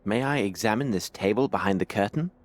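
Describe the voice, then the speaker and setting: An actor playing a self-assured, inquisitive British gentleman detective in a video game, with formal, precise speech. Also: lowish voice, fairly quick, close to an everyday voice. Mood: coolly probing.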